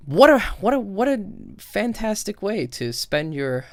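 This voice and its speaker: A man's voice: high-pitched, sing-song, unhurried, no echo, studio quality. A man giving casual, opinionated stream commentary in a blunt, sardonic, sweary way. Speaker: streamer and critic.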